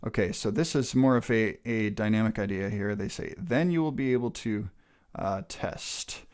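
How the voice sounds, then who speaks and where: Low-voiced man thinking aloud. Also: normal speed, darkish tone. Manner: thinking aloud, frequent ums and restarts. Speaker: channel host teaching a manuscript study. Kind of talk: casual screen-share walkthrough.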